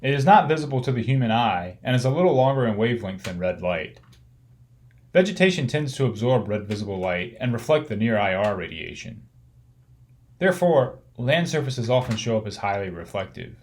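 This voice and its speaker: A man narrating an instructional slide lecture, explaining things step by step in measured, clearly organised phrases. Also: mid-range voice, big pitch swings.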